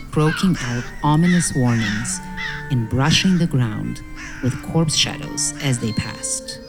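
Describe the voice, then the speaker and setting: Woman storyteller, deepish voice, dramatic measured fairytale narration w/ music behind. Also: poor audio. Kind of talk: scripted fairy-tale narration.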